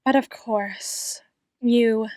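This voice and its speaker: A woman playing an obsessive, doting female doctor in an ASMR roleplay, sweetly menacing, lingering on pauses and repeated phrases and slipping into whispers. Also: a little high, fairly even intonation, mellow, no room sound. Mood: obsessive, intense. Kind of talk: ASMR roleplay, dramatic monologue addressed to listener.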